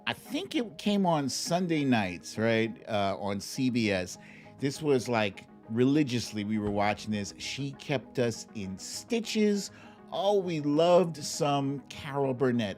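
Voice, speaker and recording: A man's voice: medium pitch, a little mellow. A man with an American accent chatting informally and expressively as the host of a live online talk show. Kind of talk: casual livestream chat and commentary.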